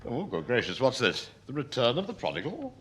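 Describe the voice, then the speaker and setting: Wry English civil servant, swooping delivery. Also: male voice, medium pitch, wildly swooping pitch, brisk, a bit bright, husky, heavily acted. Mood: wry, pleased. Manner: hesitant, dryly ironic. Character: genial, wry, middle-aged senior British civil servant. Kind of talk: British radio sitcom acting.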